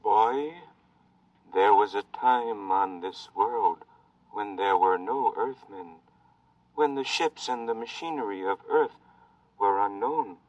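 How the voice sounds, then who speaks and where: A grave Martian elder, speaks in slow, formal phrases. The old radio play sound is dry and a little distant from the microphone. His pitch sits in an ordinary male middle. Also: a little mellow, dry recording, dull audio. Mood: solemn. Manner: slow, measured, formal speech. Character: old Martian highland native, grave and sorrowful. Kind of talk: 1950s radio drama acting. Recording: dry vintage radio transcription.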